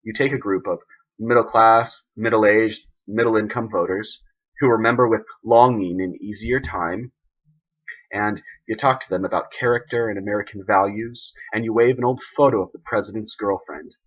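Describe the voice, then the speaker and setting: A man playing an embattled, idealistic president who gives an emphatic, deliberate, indignant speech in a dramatic monologue. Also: on the low side, fairly even intonation, slightly rough, dull audio. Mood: scornful, pointed.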